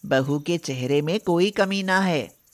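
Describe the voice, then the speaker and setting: Cartoon mother-in-law, played by a woman narrator: middle-aged, suspicious, indignant, scolds and exclaims at an unhurried pace. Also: fairly low pitch, voice pushed down. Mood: reassuring, firm.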